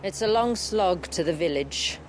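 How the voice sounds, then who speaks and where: An actress voicing a confident, wry village guide in a video game, with an assured, teasing storyteller's manner. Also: female voice, fairly low pitch, expressive, moderate pace, a little hiss or hum, natural voice. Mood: playful, assured. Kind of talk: dramatic video game acting.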